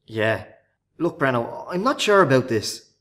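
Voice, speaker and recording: Male actor as doubtful Irish accomplice, mid-pitched, lively intonation, uneasy and reluctant, radio drama. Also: unhurried. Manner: doubtful, worried. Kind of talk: radio play acting.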